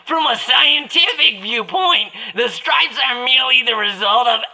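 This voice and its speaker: A woman's voice: a little high, animated, unhurried, bright, forceful tone, full character voice. A woman playing an eccentric, boastful, lewd professor in a goofy, theatrical radio drama parody. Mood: pompous, giggly. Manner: theatrical, goofy, reads stage directions aloud. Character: eccentric, boastful, lewd astronomy professor, nerdy oddball man. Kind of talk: parody of 1930s radio drama, partly improvised.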